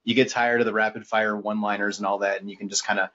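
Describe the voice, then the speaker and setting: A man chatting casually as a podcast co-host, in a halting, rambling way. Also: fairly low pitch, level tone, bright, forceful tone. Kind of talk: casual chat. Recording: dull, lo-fi podcast audio.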